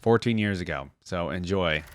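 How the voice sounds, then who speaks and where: A man's voice: low voice, normal speed, no room sound, studio quality. A man giving casual, off-the-cuff reaction commentary on video game skins as a video host.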